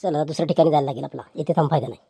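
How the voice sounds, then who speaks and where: A woman's voice: deep voice, rapid-fire, creaky, dark tone, husky, no echo, clean studio sound, exaggerated voice. A woman voicing a poor, meek male chair seller who pleads and placates with stammering restarts. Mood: placating.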